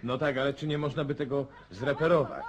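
An actor playing a polite, reserved gentleman guest in a radio drama, formal but impatient. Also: medium pitch, steady tone, a little fast, a crowd in the background, lightly acted. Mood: puzzled. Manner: formal, courteous. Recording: clearly noisy background.

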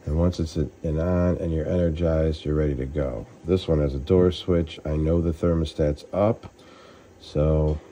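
A man working as a heating technician, explaining a furnace repair step by step in a relaxed, plain-spoken way, recorded on a handheld phone beside a gas furnace. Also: a bass voice, a little slow, slight background noise. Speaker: HVAC technician. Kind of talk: casual how-to explanation. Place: utility room at a gas furnace.

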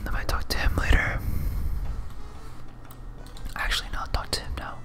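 A gaming host giving whispered, breathy ASMR commentary in a casual, self-muttering way while playing. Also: normal speed, over music.

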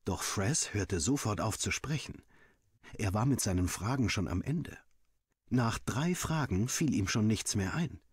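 Male narrator for a first-person story, lowish pitch, lively intonation, acts out the dialogue. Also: unhurried, slightly rough, no echo, studio quality. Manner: animated storytelling. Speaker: audiobook reader.

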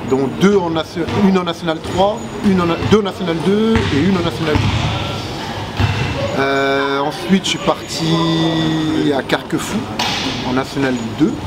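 A man in his forties, a basketball player, answering interview questions with many pauses and restarts, seated in empty arena stands. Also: fairly high pitch. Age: 40s. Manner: hesitant, many fillers and restarts. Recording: loud background noise.

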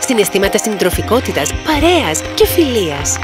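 A woman's voice: medium pitch, very animated, over music. A woman reading scripted storybook narration as the narrator.

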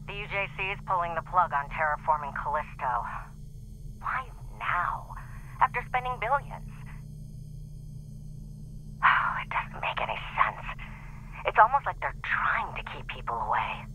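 A woman's voice: deep voice, animated, a little slow, long pauses, bright, forceful tone, exaggerated voice, poor audio. A woman playing an exasperated, suspicious engineer in a sci-fi game audio log. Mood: baffled, annoyed. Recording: faint noise, recorded audio log.

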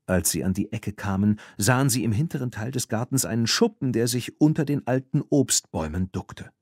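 A man's voice: mid-range voice, expressive, fairly quick, a little mellow, no room sound, clean studio sound. A man reading a scripted children's story as an audiobook narrator.

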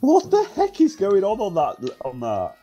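A man's voice: high-pitched, wildly swooping pitch, normal speed, over a noisy crowd. Exclaiming gamer man. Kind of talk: casual gaming chat. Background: game music.

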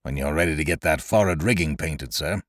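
A man voicing a gruff old boatswain who talks in a rough rustic seafaring dialect. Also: rumbling low, fairly quick, fairly bright tone, no room sound, studio quality. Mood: wary, confiding.

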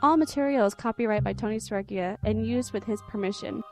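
A woman's voice: medium pitch, quick pace. A woman announcer reading scripted closing credits for a radio drama. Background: music.

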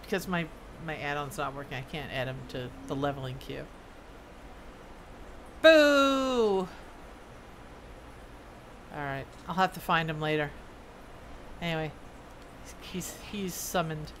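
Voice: medium pitch, very animated, slow, pauses often, fairly bright tone, slight background noise. A game streamer chatting casually and thinking aloud while playing. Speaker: game streamer reading quest dialogue aloud. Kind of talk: casual gaming stream with read-aloud dialogue.

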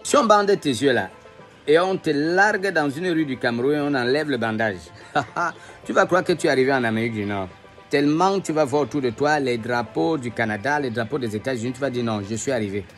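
A man's voice: mid-range voice, fairly quick, a bit bright, soft background music. A man talking earnestly to his phone camera, making an argument. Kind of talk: opinion video commentary.

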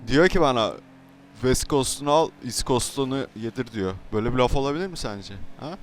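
A man's voice: mid-range voice, animated, brisk. A man doing slangy, confrontational roleplay banter in an online game and talking to viewers. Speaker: YouTube gamer and roleplay troll. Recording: noisy gaming voice recording.

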